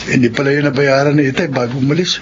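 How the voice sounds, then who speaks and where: An actor playing an earnest, respectful teenage son and student in a Filipino radio drama. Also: male voice, medium pitch, fairly even intonation, quick pace, lightly acted. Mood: worried. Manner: respectful, sincere. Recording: muffled radio drama, clear noise.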